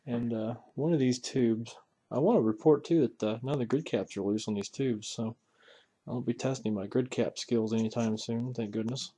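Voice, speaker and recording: Male hobby restorer, medium pitch. Folksy, off-the-cuff bench commentary, musing aloud as he works. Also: moderate pace, dark tone, slightly rough. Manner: folksy, musing aloud while handling parts. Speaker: hobbyist radio restorer presenting a repair video. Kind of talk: casual unscripted hands-on walkthrough.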